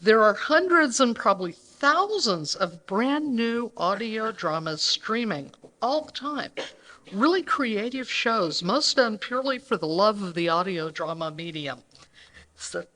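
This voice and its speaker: A woman's voice: medium pitch, expressive, measured pace, a bit bright, poor audio. A woman co-presenting a live show, speaking conversationally to the audience. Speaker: co-presenter introducing a live radio recreation. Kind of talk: live stage introduction. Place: banquet hall, live before an audience.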